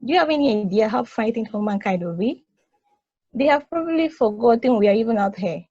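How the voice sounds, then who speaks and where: Woman acting a prim, husky space probe, muffled mic. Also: a little high, lively intonation, brisk, warm tone, dry recording. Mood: exasperated, earnest. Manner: articulate, emphatic, exasperated. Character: responsible, fretful female space probe, prim elder-sister type. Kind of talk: stageplay table read.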